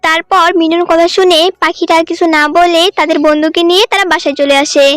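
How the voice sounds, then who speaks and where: A woman's voice: extremely high pitch, steady tone, fairly quick, a bit bright, soft background music. A woman reading scripted narration for a children's cartoon story.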